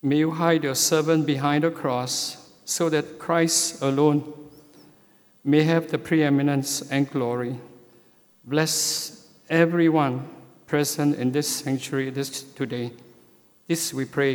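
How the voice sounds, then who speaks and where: A man preaching a sermon as a church elder, speaking in a slow, measured way with frequent hesitations. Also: on the high side, steady tone. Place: church sanctuary. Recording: long hall reverb.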